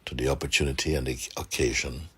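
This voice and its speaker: A man guiding a meditation for a group, speaking softly and calmly with gentle pauses and a husky, breathy voice. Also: a bass voice. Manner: unhurried, gentle pauses, calm instruction. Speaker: meditation guide. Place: room with a seated group, doors closed.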